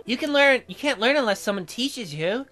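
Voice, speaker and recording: Man putting on a high, teasing young woman. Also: animated, moderate pace, sharp tone, soft background music, exaggerated voice. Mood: coaxing.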